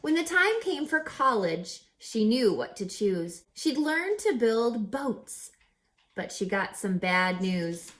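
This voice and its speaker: A woman reading a rhyming children's picture book aloud as a teacher, with theatrical, deliberate, emphatic phrasing. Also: on the high side, big pitch swings, fairly bright tone. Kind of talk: expressive children's read-aloud of rhyming verse.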